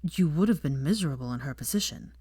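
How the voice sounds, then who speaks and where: A woman's voice: expressive, fast, dark tone, husky, no echo, studio quality. A woman voicing a young wizard man who drawls bluntly and probes with concern and slight irritation, in a storybook reading. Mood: concerned, peeved. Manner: drawling, low-key, probing.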